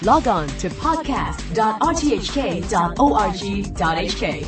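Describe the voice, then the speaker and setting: A woman's voice: mid-range voice, expressive, unhurried. A woman announcer reading a scripted station podcast jingle. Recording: voice under prominent music. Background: jingle music.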